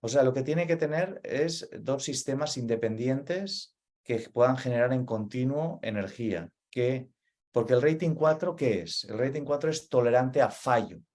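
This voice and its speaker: A man's voice: mid-range voice, normal speed, a little mellow. A man from Spain with a Spain Spanish accent, explaining data center operations in a webinar and repeating his key points. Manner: earnest, repeats key points, frequent "vale?" check-ins.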